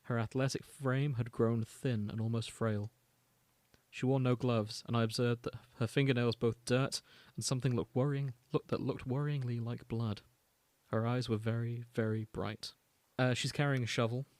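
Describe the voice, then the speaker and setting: Male podcast host, medium pitch, storytelling read of amateur fiction with informal asides, very dry sound. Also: fairly even intonation, normal speed, darkish tone, dry recording. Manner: storytelling, alternating read-out prose with casual asides. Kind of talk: reading and summarizing fan fiction.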